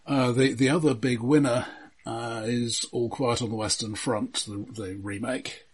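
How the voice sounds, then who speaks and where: Husky man discussing films, hesitant and measured. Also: medium pitch, fairly even intonation. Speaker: film podcast co-host. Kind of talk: casual film discussion.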